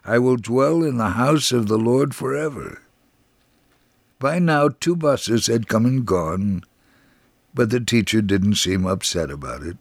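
A man reading a first-person religious testimony as a scripted dramatic narration, in a measured, earnest way. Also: mid-range voice, dry recording.

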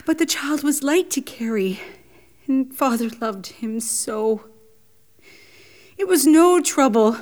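A woman's voice: high-pitched, expressive, takes it slowly, long pauses, a little mellow. An actress playing a grieving, tender mother in a radio drama, her speech tearful and faltering. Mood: wistful sorrow.

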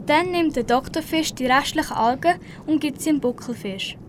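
A woman's voice: high-pitched, normal speed, a little hiss or hum. A teenage girl with a Swiss German accent reading scripted narration for an amateur school radio play.

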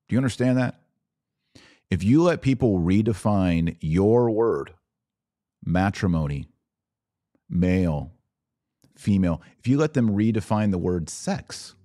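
A man's voice: fairly low pitch, mellow, no room sound, clean studio sound. An American man hosting a podcast, delivering opinion commentary in an emphatic, preachy manner full of rhetorical questions. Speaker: podcast host.

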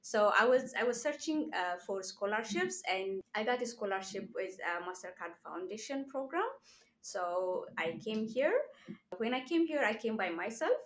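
A woman's voice: fairly high pitch, big pitch swings, moderate pace, slight background noise. A woman from Ethiopia, a doctoral student guest, speaking earnestly and emphatically. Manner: earnest, emphatic, with small laughs. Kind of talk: conversational interview.

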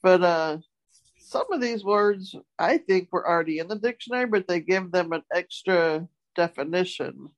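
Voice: medium pitch, lively intonation, moderate pace, dull audio. A podcast co-host chatting casually with a group and explaining things in an informal way.

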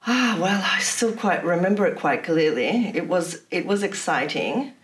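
A woman's voice: fairly low pitch, lively intonation, moderate pace. A woman from Poland who grew up in Australia, answering interview questions with personal recollections. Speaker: interview guest. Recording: distant from the microphone.

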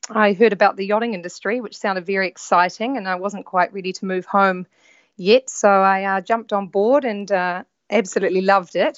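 A woman's voice: medium pitch, no echo. A young woman with a New Zealand accent, a guest speaking easygoingly with some hesitation over a call. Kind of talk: remote interview over a call. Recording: dry, slightly dull call audio.

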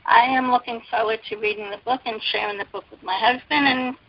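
A woman hosting an interview, talking in an earnest, personal and slightly halting way. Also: on the high side, fairly even intonation, breathy edge. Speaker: interview host. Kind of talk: casual interview chat. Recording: dry, dull lo-fi recording.

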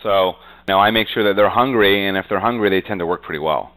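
Low-voiced man, blunt interviewee. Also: quick pace, a bit bright. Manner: frequently punctuates talk with "you know". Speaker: real estate agent being interviewed. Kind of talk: conversational business interview.

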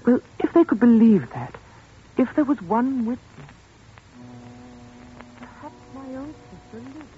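A woman playing an earnest, tender and troubled woman with a husky voice in a 1940s radio suspense drama. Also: fairly high pitch, expressive, measured pace, faint music behind. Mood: tender, pleading. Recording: tinny, band-limited 1940s radio recording.

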